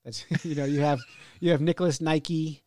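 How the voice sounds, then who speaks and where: A man's voice: on the high side, steady tone, moderate pace, a little mellow. A man hosting a podcast, chatting casually and laughing often at his own jokes. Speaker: podcast host. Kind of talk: casual chat.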